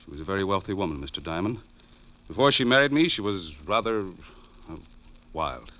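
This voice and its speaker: Earnest, bright-toned widower in radio drama. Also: male voice, sits low, lively intonation, brisk. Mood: troubled, determined. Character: formal, earnest wealthy widower, a male client. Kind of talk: 1940s radio detective acting. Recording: dull old radio recording.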